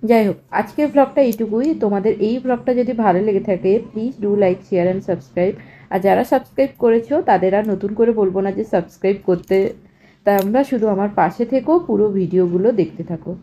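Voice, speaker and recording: A woman's voice: medium pitch, moderate pace, warm tone, slight background noise. A woman with a Bengali accent talking casually to her viewers in a chatty, explanatory vlog style, mixing in English words. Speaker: vlogger.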